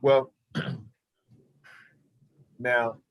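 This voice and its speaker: A man hosting a webinar over a video call, in a relaxed, folksy, chuckling manner. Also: mid-range voice, very slow, clear, resonant tone. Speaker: webinar host. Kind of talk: casual chat.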